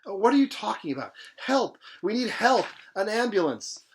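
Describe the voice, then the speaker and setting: A man reading the part of a panicked young son in a novel, calling out frantically in a raised, loud voice. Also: high voice, big pitch swings, normal speed, slight background noise, voice pushed up, low-quality recording.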